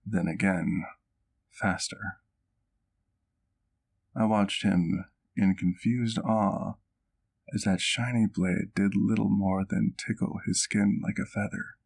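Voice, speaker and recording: A man playing a doting, wistful vampire doctor in an ASMR roleplay, telling his story gently in formal, literary language. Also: lowish voice, steady tone, slow pace, gravelly, pauses often. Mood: reflective.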